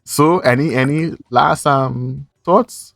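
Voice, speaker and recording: A man's voice: mid-range voice, slow pace, creaky, fairly bright tone, no room sound, clean studio sound. A man with a Trinidadian accent hosting a casual movie review chat, speaking in slangy, repetitive phrases. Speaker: podcast host.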